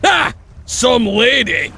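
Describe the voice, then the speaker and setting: An actor playing a feisty, gruff male wolf tracker in a video game, excitable and scornful with a raspy voice. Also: very high-pitched, slow, full character voice. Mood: scornful, mocking. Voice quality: raspy, gravelly. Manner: excitable, exclamatory.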